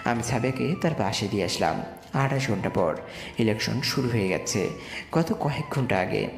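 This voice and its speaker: Expressive man narrating in echoing reverb. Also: fairly low pitch, normal speed, creaky. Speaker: narrator and lead character in an audio love story. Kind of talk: dramatised first-person scripted narration. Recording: echoey reverb with music behind. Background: music.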